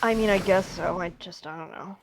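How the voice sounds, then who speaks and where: A woman co-hosting a podcast in casual chat, talking in quick bursts with many restarts. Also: lowish voice, noisy background. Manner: chatty, frequent restarts and laughter. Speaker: podcast co-host.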